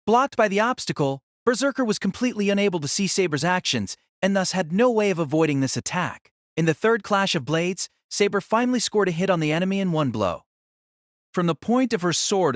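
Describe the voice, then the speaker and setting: A male audiobook narrator with a slightly high voice in a very dry recording. He reads dramatic fiction in a measured, formal and literary way. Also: no room sound. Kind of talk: scripted dramatic story narration.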